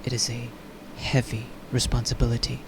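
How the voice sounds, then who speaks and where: Man playing an earnest, monotone, compassionate officer. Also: medium pitch, moderate pace, creaky, background noise, lightly acted. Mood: solemn, pleading. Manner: earnest, subdued, pleading. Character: gentle, earnest, compassionate male officer, pale and weary. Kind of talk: game character dialogue.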